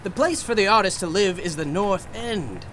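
An actor playing an eccentric, boastful painter of horrors, talking fervently and persuasively in a radio drama. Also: male voice, sits high, expressive, moderate pace, a bit bright, slight background noise.

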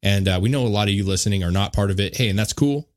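A man's voice: fairly low pitch, very fast. A man hosting a podcast in loose, laid-back banter, swearing freely and chuckling between remarks. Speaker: podcast host.

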